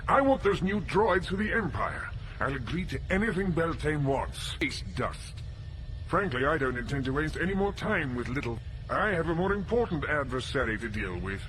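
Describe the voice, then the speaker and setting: An actor playing a menacing, imperious Imperial commander in a space-opera audio drama, commanding and threatening in manner. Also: male voice, fairly high pitch, very animated, normal speed, a bit bright, slight background noise, rough recording. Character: imperious, menacing male Imperial dark-side executor commanding a fleet.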